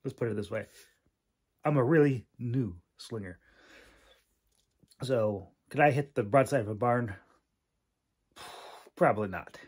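Slow-talking, hesitant man. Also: medium pitch, husky. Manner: hesitant, self-deprecating, chuckling. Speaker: video creator and hobby audiobook narrator. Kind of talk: casual rambling vlog voice-over.

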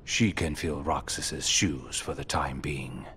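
A man playing a cold, aloof second-in-command of a dark order in a game cutscene, curt, detached and formal. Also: very deep voice, fairly even intonation, slow pace, slight background noise, full character voice. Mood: calculating. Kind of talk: video game cutscene acting.

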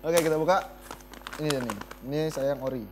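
A man's voice: a little high, fast, background noise. A young man bantering jokily as a talk show co-host. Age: young adult. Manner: jokey. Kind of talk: casual bantering chat. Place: TV studio set. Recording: roomy studio, clear chatter behind.

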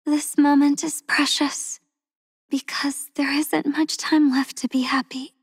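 A soft-spoken, tender game heroine. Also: female voice, high voice, little pitch movement, takes it slowly, no echo, clean studio sound. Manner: soft, tender, with frequent breathy whispers. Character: gentle, devoted young woman soldier, soft-spoken and tender. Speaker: voice actress for a game character. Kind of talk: game character voice lines. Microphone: close studio mic.

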